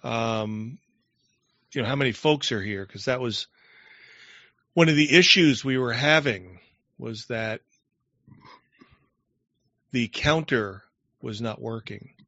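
A man's voice: mid-range voice, slow, pauses often, bright, forceful tone, no echo, lo-fi sound. A man hosting a live online show in casual chat, loose and rambling, often trailing off mid-sentence.

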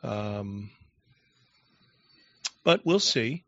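A man's voice: on the low side, expressive, extremely slow, no room sound, highs cut off. A man hosting a live online show in casual chat, loose and rambling, often trailing off mid-sentence.

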